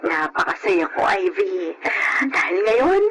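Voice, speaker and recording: A woman's voice: mid-range voice, very animated, slow pace, very noisy. An actress playing a loving, hardworking older mother in sentimental, emotional Filipino radio drama style. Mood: moved.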